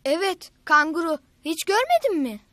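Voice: very high voice, sing-song, normal speed, bright, forceful tone, no echo, heavily acted. A performer voicing a kind schoolboy older brother in a children's cartoon, patiently explaining and encouraging.

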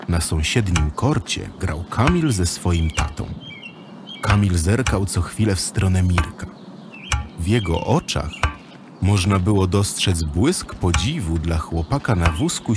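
A man narrating a children's audio drama in a scripted storybook style. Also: deep voice.

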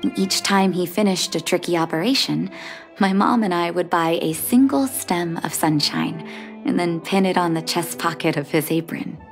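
An actress playing a gentle, composed young doctor and devoted daughter in a video game, soft-spoken and sincere. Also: female voice, fairly low pitch, normal speed, lightly acted. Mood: wistful, tender. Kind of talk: scripted video game dialogue. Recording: music under the voice.

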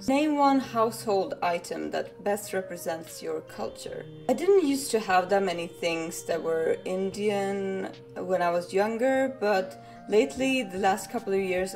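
Soft-spoken young woman. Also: medium pitch. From Sweden. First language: Swedish. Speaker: vlogger. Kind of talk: casual talk to camera. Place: living room indoors.

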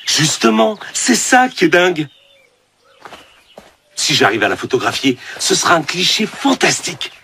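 An actor playing an absent-minded, excitable photographer father in a cartoon, dramatic and boastful. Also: fairly high pitch, moderate pace, gravelly, pauses often, slight background noise, heavily acted. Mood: excited. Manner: dramatic, boastful, easily carried away. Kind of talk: French cartoon dub acting.

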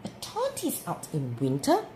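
A woman's voice: fairly low pitch, huge pitch swings, measured pace, dark tone. A woman voicing a scornful, boastful storybook dog with a barking, taunting manner. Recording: roomy with clear background noise.

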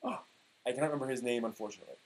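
A man in his forties giving a mock-dramatic, emphatic reading of an internet post, halting here and there over the text. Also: mid-range voice. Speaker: performer reading old forum posts. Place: small room at home, indoors.

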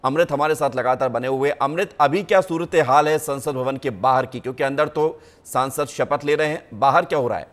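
Male news anchor, mid-pitched, measured. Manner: measured, hesitant with "uh" pauses.

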